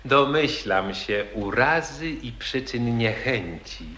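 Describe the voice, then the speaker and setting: A man playing a smooth-talking young nobleman suitor. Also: medium pitch, expressive, slow, bright, forceful tone, a little hiss or hum. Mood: wooing. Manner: theatrical, wheedling, declamatory. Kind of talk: 1940s radio acting in verse. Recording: echoey old radio archive.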